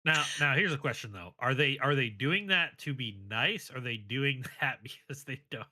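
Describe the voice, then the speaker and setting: A man's voice: mid-range voice, animated, normal speed, sharp tone. A man co-hosting a casual podcast, curious and asking questions, laughing into his sentences. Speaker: podcast co-host.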